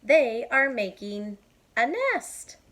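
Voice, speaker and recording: A cheerful storybook pig, played by a woman, matter-of-fact and emphatic. Words are stretched out very slowly, with lively pitch. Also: heavily acted. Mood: cheerfully informative. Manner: emphatic, drawn-out delivery.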